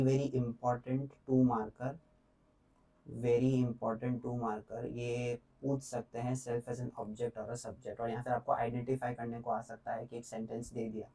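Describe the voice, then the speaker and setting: Unhurried young male teacher, mellow and flat delivery, a little off-mic; repeats key points and checks in. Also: mid-range voice, recorded from a distance, low-quality recording. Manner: explains in mixed Hindi and English, repeats points, checks in often. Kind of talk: exam revision lecture.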